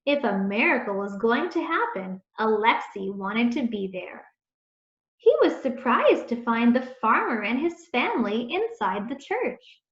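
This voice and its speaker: Slow, high-voiced woman reading a children's story. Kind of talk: read-aloud storytelling, scripted narration. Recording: echoey room, dull muffled sound.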